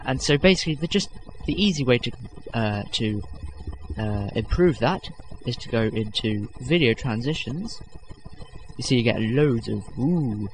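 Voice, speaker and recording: A man's voice: medium pitch, lively intonation, measured pace, slight background noise, poor audio. A young man hosting a casual video-editing tutorial, rambling with lots of fillers and self-corrections, self-deprecating. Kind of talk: unscripted screen-recording tutorial.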